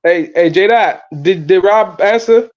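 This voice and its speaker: A man with a fairly high voice hosts an informal live sports chat. He talks in loose slang, doubling back on words and repeating them. The sound is slightly dull and muffled, coming through a phone. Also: a little slow. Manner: slangy, repetitive, stumbling over words. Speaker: host of a live sports fan show. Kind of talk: casual live-stream chat about college football.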